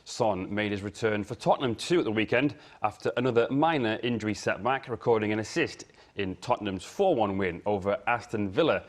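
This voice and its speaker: A man's voice: fairly low pitch, a little fast. A man working as a sports editor, delivering a broadcast sports report in a studio. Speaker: sports editor presenting sports news. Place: TV news studio.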